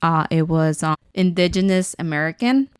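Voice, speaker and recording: A woman's voice: deep voice, normal speed, clean tone, dry recording, clean studio sound. A woman from Guatemala co-hosting a podcast in casual, chatty, informal conversation. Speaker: podcast co-host. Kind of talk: casual unscripted podcast chat.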